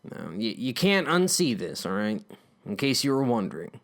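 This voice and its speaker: A man's voice: mid-range voice, very animated, normal speed, fairly bright tone, pitched below the performer's own voice. A man voicing a smug, menacing older brother in a comic story, theatrical and conspiratorial. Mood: warning. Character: menacing, smug bully older brother telling dark hunches.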